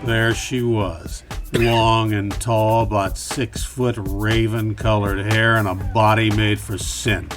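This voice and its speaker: A man playing a wry, world-weary private detective, narrating deadpan in a campy noir radio play. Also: fairly low pitch, takes it slowly, over music.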